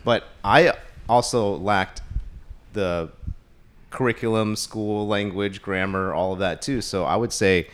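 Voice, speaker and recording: A man's voice: lowish voice, slow pace. A man answering casually, laid-back and brief. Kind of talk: casual conversation.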